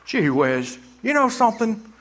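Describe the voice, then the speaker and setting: A man's voice: high-pitched, sing-song, unhurried, fairly bright tone, exaggerated voice. A man playing an eager, dreamy young man. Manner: eager, rambling, boyish. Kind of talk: live amateur radio-play acting.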